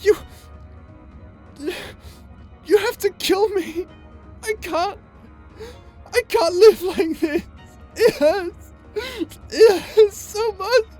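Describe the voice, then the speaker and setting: A woman playing an unhinged, vengeful, lovesick and tormented space villain in an ASMR roleplay drama, speaking in a theatrical, halting way. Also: very high voice, mellow, soft background music. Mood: anguished pleading. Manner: theatrical, halting, swinging between tender menace and anguish.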